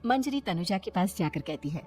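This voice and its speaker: A woman's voice: lowish voice, lively intonation, normal speed, darkish tone. A woman reading scripted narration for a Hindi cartoon family story. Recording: music behind the voice. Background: background music.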